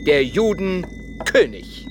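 An actor playing a proclaiming voice of prophecy, declaiming scripture in a radio drama with a very noisy background. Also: male voice, a little high, big pitch swings, takes it slowly. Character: proclaiming male voice of prophecy and inscription.

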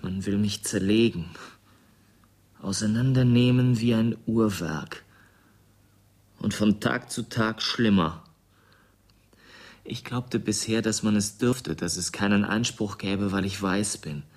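An actor playing a grave, strange, wounded youth who speaks in plain, solemn, measured statements in a German radio drama. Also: male voice, fairly low pitch. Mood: grave, forlorn.

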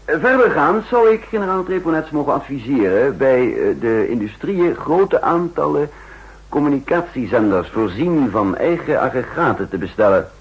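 An actor playing a shrewd, insistent counter-espionage chief in a 1960s radio drama, speaking formally and emphatically with a husky voice. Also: male voice, mid-range voice, very animated, moderate pace. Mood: urgent, confident. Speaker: narrator and main character. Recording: muffled old 1960s radio recording.

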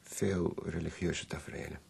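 Courteous male visitor, deep voice, heavily raspy, quiet, measured, concerned, radio play. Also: fairly even intonation, exaggerated voice. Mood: polite, questioning. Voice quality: raspy, very creaky. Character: concerned, deferential male visitor to an ailing master. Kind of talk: restrained radio drama acting.

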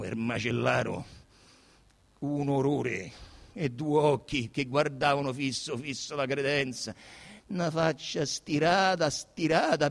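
A man's voice: fairly high pitch, a bit bright, in a large hall. An actor playing an agitated Roman policeman who rambles colloquially as he reports a crime in a radio drama. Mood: horrified, shaken. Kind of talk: dramatic radio theatre monologue.

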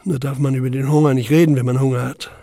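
An actor playing a young labor camp survivor who narrates in the first person, sober, measured and intense. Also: male voice, medium pitch, flat delivery, gravelly, dark tone, dry recording, clean studio sound, lightly acted. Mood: grim.

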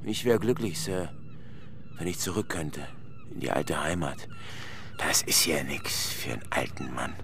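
An actor playing a ragged, wistful old ship's steward in a radio drama, deferential and rambling in short phrases. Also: male voice, rumbling low, expressive, measured pace, vocal fry, background noise, exaggerated voice. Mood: wistful, bitter. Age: older.

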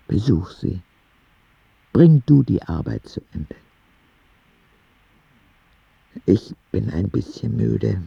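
A man's voice: fairly low pitch, creaky, husky, dry recording, lo-fi sound, heavily acted. A man playing a dying ten-year-old boy in a radio drama, reading candid, wondering letters to God in an intimate, reflective way. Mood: weary, gentle. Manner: slow, reflective, with expressive swings.

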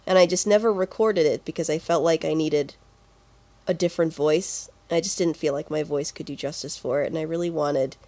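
A woman's voice: sits low, steady tone, a little fast, warm tone. A woman co-hosting a podcast, chatting casually and informally about video game music. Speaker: podcast co-host.

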